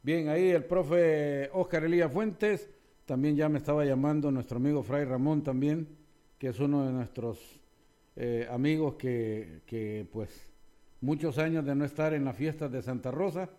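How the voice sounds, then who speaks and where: A man's voice: a little high, normal speed, warm tone. A man hosting a local radio show, chatting in a friendly, teasing way with the people he talks to. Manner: friendly, teasing, calls guests profe and hermano. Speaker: radio host. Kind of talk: casual radio interview.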